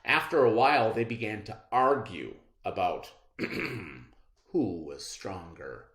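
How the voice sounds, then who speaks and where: A man teaching children in a read-aloud lesson, expressive and instructive, often posing questions and checking in. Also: on the low side, takes it slowly. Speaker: elementary school teacher. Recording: echoey room sound.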